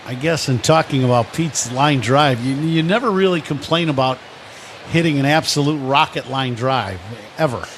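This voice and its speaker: A man's voice: medium pitch, normal speed, a little hiss or hum. A man giving conversational baseball analysis as a radio color commentator in a booth. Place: ballpark broadcast booth.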